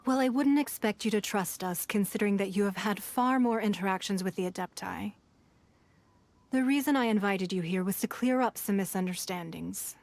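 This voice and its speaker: A woman playing a poised, shrewd and authoritative noblewoman in an anime video game, speaking in a formal, composed, measured way. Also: mid-range voice, a little mellow, close to an everyday voice. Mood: composed, solemn. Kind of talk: anime video game voice acting, expository dialogue. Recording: music behind the voice. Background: game music.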